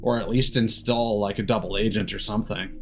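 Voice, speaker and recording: A man giving casual livestream game commentary, musing in a halting way and often trailing off mid-thought. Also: fairly low pitch. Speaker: game streamer. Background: game music.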